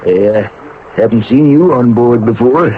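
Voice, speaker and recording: A man's voice: mid-range voice, unhurried, phone-quality sound, close to an everyday voice. An actor playing a boastful, suspicious Egyptian sailor in a 1970s radio drama, with folksy, blustering working-man talk. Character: gruff, boastful, suspicious Egyptian sailor devoted to his queen. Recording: band-limited, noisy old radio recording.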